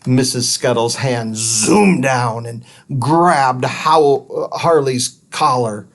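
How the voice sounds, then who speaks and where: A man reading a children's book aloud in an animated narrating style. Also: medium pitch, takes it slowly. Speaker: story reader.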